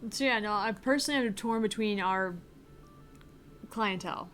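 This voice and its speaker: A young woman co-hosting a casual let's-play stream, animated, laughing often and swearing casually. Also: medium pitch, normal speed, soft background music. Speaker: game streamer co-host.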